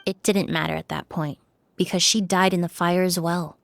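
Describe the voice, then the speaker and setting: A calm, shrewd young perfumer. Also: female voice, fairly low pitch, creaky, a little hiss or hum. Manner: calm, measured, politely probing. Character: composed young woman perfumer, shrewd and polite. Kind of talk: video game voice acting.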